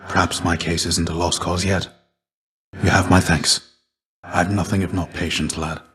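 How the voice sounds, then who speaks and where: A deep male voice for a video game character: a fallen Greek warrior, stoic and weary. He speaks low, in measured, formal phrases. There is a restrained, gentle gravity to him, like a fatherly mentor.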